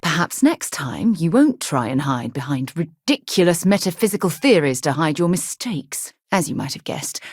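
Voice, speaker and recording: A low-pitched woman as a principled space explorer in a sci-fi game. She is composed and articulate, earnest with a wry edge. The recording is dry and close. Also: a little mellow, husky, no echo, exaggerated voice. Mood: exasperated, then wistful, troubled. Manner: earnest, thoughtful, dryly wry. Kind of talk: video game voice acting.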